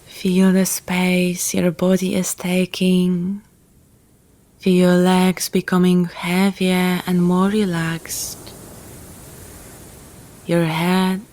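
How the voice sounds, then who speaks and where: A woman guiding a relaxation meditation in a calm, gentle, soothing manner, leaving long pauses between phrases. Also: lowish voice. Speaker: yoga nidra guide.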